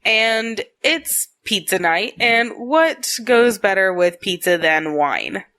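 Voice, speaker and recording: A woman's voice: medium pitch, expressive, slow, bright, forceful tone. A woman in her late twenties from Texas, co-hosting a casual podcast chat, laughing often and teasing her partner. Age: 20s. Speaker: podcast co-host. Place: home in Arizona.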